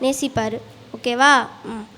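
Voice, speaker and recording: A woman's voice: fairly high pitch, steady tone, slow, sharp tone. A woman acting as a chiding schoolteacher in an amateur church skit. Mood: gentle, earnest. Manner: exasperated questioning, chiding. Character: scolding, teasing schoolteacher, later gently consoling. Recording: echoey hall, distant, noisy room sound.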